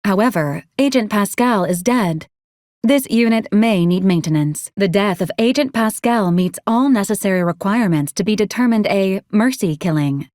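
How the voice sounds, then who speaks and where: A woman voicing a polite, literal-minded female robot assistant in a video game, speaking in a formal, clipped, deadpan and precise way. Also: mid-range voice, normal speed, dry recording, clean studio sound, natural voice. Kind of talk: scripted video game voice lines.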